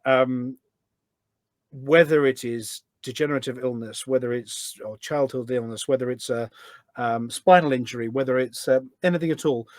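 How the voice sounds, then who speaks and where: A man's voice: medium pitch, normal speed, no room sound, clean studio sound. A man talking enthusiastically in a podcast interview as a guest, explaining things step by step and often posing questions as he goes. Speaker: podcast guest, voice pack developer.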